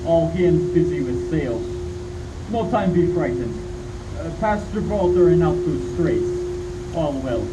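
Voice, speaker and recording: Man as clipped sea captain, slow and somewhat high, loud wind behind. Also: animated. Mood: uneasy. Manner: clipped, terse log-entry reading. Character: weary ship captain keeping a log. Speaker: community theatre actor. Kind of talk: live stage radio play. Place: outdoor stage in constant wind. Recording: loud wind noise on outdoor live recording.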